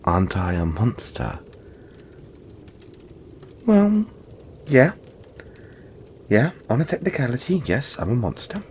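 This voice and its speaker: A man voicing a big, friendly, apologetic yeti in an audio roleplay, speaking gently to the listener in a halting, chatty, playful way. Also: medium pitch, wildly swooping pitch, slight background noise.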